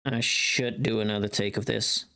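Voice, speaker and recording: A woman's voice: a bass voice, steady tone, moderate pace, a bit bright. A woman chatting casually and candidly on a livestream while she works on recording, talking to her viewers. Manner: candid, swears casually, reads and answers chat. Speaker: live streamer.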